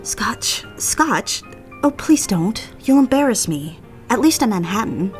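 A woman's voice: on the high side, very animated, slow pace, faint music behind. An actress playing a genteel, eager young society woman in an audio drama, speaking and narrating with proper, polite diction. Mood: brisk, coaxing. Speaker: lead character and narrator. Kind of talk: audio drama acting with first-person narration.

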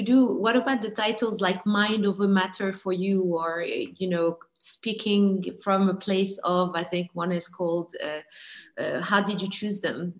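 A woman hosts an interview and asks questions in a friendly, easygoing way. She laughs often between her words. Her voice sits at a middle pitch. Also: low-quality recording.

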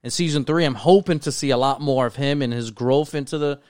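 A man's voice: mid-range voice, a little fast, darkish tone, no echo, clean studio sound. An American man chatting casually as a podcast co-host about TV, opinionated, slangy and given to swearing. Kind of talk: casual chat about TV shows.

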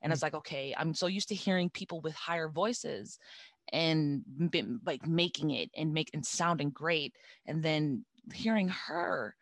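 A woman with a deep voice, a singer chatting as a guest in an interview. She talks reflectively and restarts her sentences often. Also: no echo, clean studio sound. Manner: reflective, halting, with many restarts. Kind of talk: casual interview chat.

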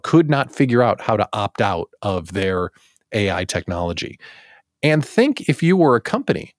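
A man hosting a live show in casual chat, jokey and animated, often breaking off his own sentences and throwing out rhetorical questions. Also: mid-range voice, no echo, studio quality. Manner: animated, jokey, self-interrupting, exclaims and asks rhetorical questions. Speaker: host of a daily live show.

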